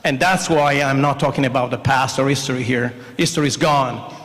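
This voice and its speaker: A man's voice: medium pitch, a little fast, a bit bright. A man with a non-native English accent giving a jovial, rambling campaign speech while standing. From not from Shreveport. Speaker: mayoral candidate. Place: large indoor event hall. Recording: long hall reverb.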